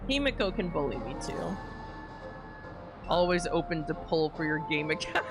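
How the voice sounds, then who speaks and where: A woman's voice: sits low, sing-song, normal speed. A woman game streamer giving casual, playful live commentary, sometimes sweary and reading game text aloud. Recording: game music behind.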